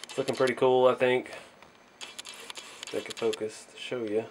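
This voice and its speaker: A man's voice: medium pitch, little pitch movement, normal speed, noisy background. A man hosting a casual hobby build vlog, speaking in a modest, hesitant way as he thinks aloud. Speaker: hobby channel host.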